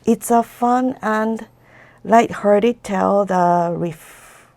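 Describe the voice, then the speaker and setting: A woman with a Japanese accent, a native Japanese speaker, retelling a folktale haltingly as she searches for her words. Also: medium pitch, darkish tone. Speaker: guest storyteller.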